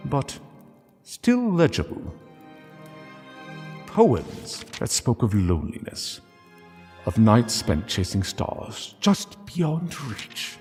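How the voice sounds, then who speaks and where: A man narrating a dramatic scripted story in a solemn, measured way, pausing between phrases. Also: on the low side, a little mellow. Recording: long reverb, music underneath. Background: music.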